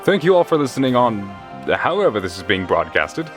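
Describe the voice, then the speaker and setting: A blithe, scruffy male adventurer, played by a man, mid-pitched but very animated. He talks in casual slang with cheeky friendliness, over clear music in a fantasy audio drama. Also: fairly quick, lightly acted. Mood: playful. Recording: music behind the voice.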